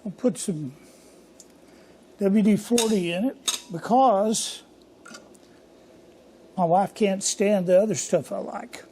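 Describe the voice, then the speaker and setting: An older man hosting a casual workshop vlog from his home machine shop, talking in a hoarse, rambling, folksy way. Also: high-pitched, takes it slowly, long pauses, mellow, slight background noise. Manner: rambling, folksy and self-deprecating. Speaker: workshop channel host.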